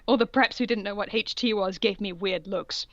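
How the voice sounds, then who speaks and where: A woman's voice: mid-range voice, normal speed, fairly bright tone, highs cut off. A woman co-hosting a comic reading of fan fiction, narrating mock-seriously and giggly, with asides. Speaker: co-host.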